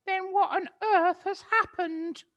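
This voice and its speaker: A man doing a shrill, frightened old woman. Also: big pitch swings, a little slow, fairly bright tone, dull audio, exaggerated voice. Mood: alarmed. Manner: very high piping falsetto.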